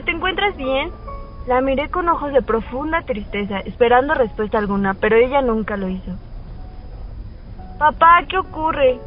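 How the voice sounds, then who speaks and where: Young woman playing a high-voiced teenage schoolgirl. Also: dull audio, close to an everyday voice. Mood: distressed. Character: teenage schoolgirl heroine narrating her own sad story. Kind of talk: first-person radio drama narration and dialogue.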